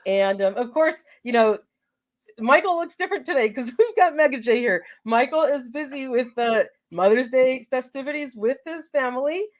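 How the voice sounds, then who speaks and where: A woman's voice: high-pitched, expressive, normal speed. A woman hosting a live show in casual chat, a little congested from a cold, laughing easily as she reads out viewer names.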